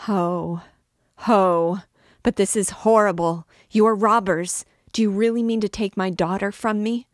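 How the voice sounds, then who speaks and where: A wild, grief-mad recluse mother screams for help and curses her captors in a high, piercing voice, as a woman reading aloud puts her on. Her pleading is loud and desperate. The sound is dry and close. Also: steady tone, a little slow, no echo, close to an everyday voice. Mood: frantic.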